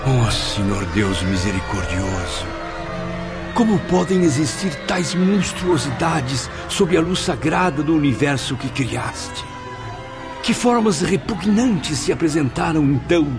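A man's voice: a little high, expressive, measured pace. A man playing a spirit visitor who narrates his own story, speaking in an emotive, exclamatory way in a radio drama. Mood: anguished. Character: awed male spirit visitor recounting. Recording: music bed under voice.

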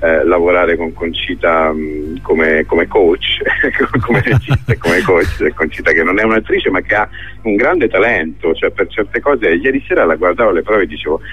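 A man's voice: low voice, moderate pace, husky. A man speaking as a theatre director in a conversational radio interview, hesitant and searching for his words. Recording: thin phone-like radio line.

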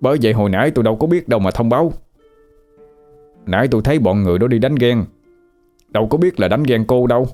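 A man's voice: mid-range voice, animated, fast, pauses often, dark tone, soft background music, natural voice. A man with a Southern Vietnamese accent playing a talkative, fussy male ghost who grumbles and gets flustered. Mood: chatty. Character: nosy, chatty male ghost, young man.

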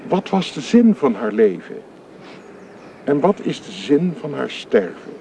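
Radio drama: solemn Protestant minister, grave and oratorical, fairly high male voice, very unhurried, faint noise. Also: mellow. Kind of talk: radio play acting.

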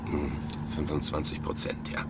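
A man's voice: very deep voice, completely flat delivery, normal speed, gravelly, background noise. An actor playing a brittle, lovesick male academic turned nervous criminal, hesitant and pleading, in a naturalistic radio drama. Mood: sullen, defensive.

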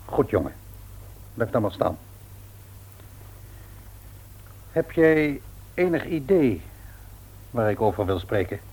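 A man playing an earnest, patient, devout middle-aged father in a radio play, with measured delivery and a rough-edged husky voice. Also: on the low side, very animated, a little mellow, heavily acted, low-quality recording. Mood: restrained, patient. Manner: measured, grave, deliberate. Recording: old radio play recording.

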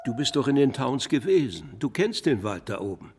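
A man's voice: mid-range voice, big pitch swings, normal speed, a little mellow, husky, faint music behind. Audio drama role: skeptical backwoods man, matter-of-fact, middle register. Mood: explaining firmly. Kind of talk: German audio drama acting.

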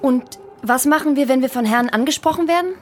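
An actress playing a young, self-assured policewoman in a German radio drama, brisk, cool and wry. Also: high-pitched, soft background music, natural voice. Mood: cool, confident. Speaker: actor.

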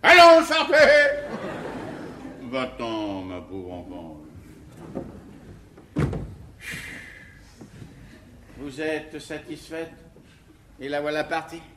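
An actor playing a henpecked, good-natured, food-loving bourgeois husband with theatrical bluster in a classical French verse comedy. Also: male voice, on the high side, wildly swooping pitch, slow, long pauses, noisy background, heavily acted. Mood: reluctant. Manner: theatrical, drawling, blustering then meek. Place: theatre stage. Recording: roomy 1950s theatre stage recording.

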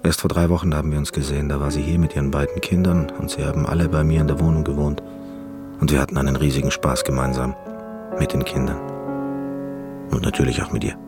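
A man's voice: rumbling low, flat delivery, fast talker, over loud music. An actor playing an eccentric, obsessive, reclusive male pianist in a radio drama, rambling and theatrical in an intimate confessional way. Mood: intimate, yearning. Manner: rambling, theatrical, self-absorbed.

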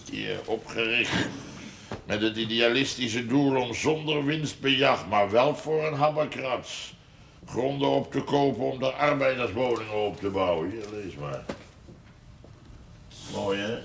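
A man's voice: mid-range voice, measured pace, creaky, fairly bright tone. An actor playing a cynical, sarcastic middle-aged village father who grumbles in naturalistic television drama dialogue. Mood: sardonic. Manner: sardonic, grumbling. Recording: roomy, distant mic, faint noise.

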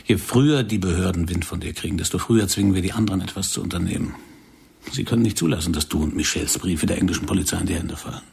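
An actor in a radio drama playing a controlled, brooding secret-service handler who speaks in a measured, grave way. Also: male voice, sits low, vocal fry, a little mellow, breathy edge, heavily acted. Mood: grave, cautioning.

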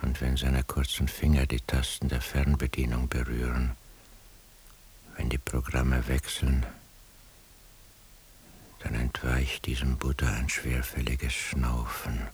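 Very deep, slow, brooding night watchman. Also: flat delivery. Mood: brooding, weary. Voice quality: very deep, breathy half-whisper. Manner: slow, measured, introspective. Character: brooding, weary male surveillance eavesdropper on night watch. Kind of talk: literary radio drama interior monologue. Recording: hissy radio drama recording.

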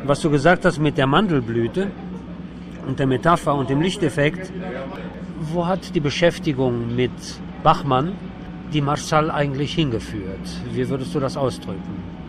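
A man's voice: fairly high pitch, normal speed, background noise. A man hosting a conversational cultural radio interview. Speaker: radio host interviewing a guest.

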